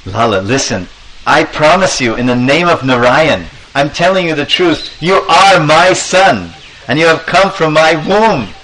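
A man voicing a gentle, worried mother from a devotional story, coaxing and soothing her small son. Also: fairly high pitch, lively intonation, a little slow, a little hiss or hum, low-quality recording. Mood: reassuring. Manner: coaxing, concerned questioning.

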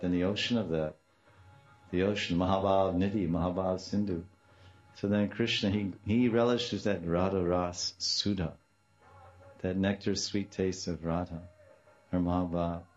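Older guy, deep voice, informal devotional teaching w/ sanskrit words, kinda dull muffled recording. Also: darkish tone. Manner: storytelling with repeated phrases and Sanskrit terms.

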